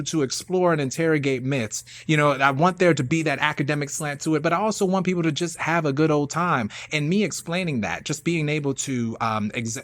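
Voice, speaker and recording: A man hosting a show casually, with hype, slangy shout-outs and repeated catchphrases. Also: medium pitch, dry recording. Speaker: radio show host and performing artist.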